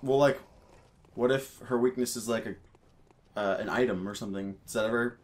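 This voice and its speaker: A man's voice: medium pitch, fairly quick, darkish tone. A man in casual let's play chat, asking questions in a hesitant way as a co-commentator. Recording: faint background game noise. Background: video game sound.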